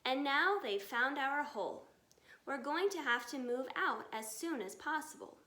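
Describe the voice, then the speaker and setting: A woman's voice: high voice, animated, unhurried, bright, forceful tone, roomy sound, exaggerated voice. A woman voicing a brave father fox in a storybook, exclaiming and giving orders urgently. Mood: glum, resigned. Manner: exclamatory, commanding.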